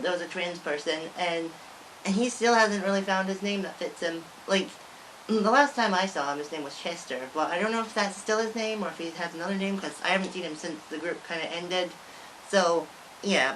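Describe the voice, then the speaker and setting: A woman's voice: fairly low pitch, brisk, a bit bright, a little hiss or hum, poor audio. A young woman talking casually to camera as a vlogger, rambling with lots of restarts and frequent giggles. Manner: rambling, many likes and restarts, giggles often.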